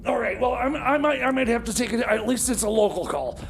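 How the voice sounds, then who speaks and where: A man's voice: very high voice, lively intonation, brisk, vocal fry, slight background noise, exaggerated voice. A man playing a flustered, exasperated time machine mechanic in comedy radio theater, stammering and grumbling in agitation. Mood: stressed, pleading.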